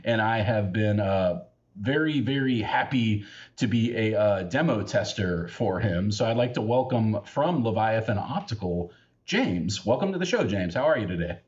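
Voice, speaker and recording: A man's voice: on the low side, animated, moderate pace, a little mellow, muffled recording. An American man hosting a podcast, chatting casually with lots of fillers and easy laughter. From United States. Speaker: podcast host.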